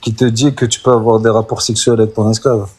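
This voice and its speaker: A man's voice: fairly low pitch, level tone, quick pace, a little mellow, slightly rough, soft background music. A man joining a live discussion who speaks directly and questions the point being made.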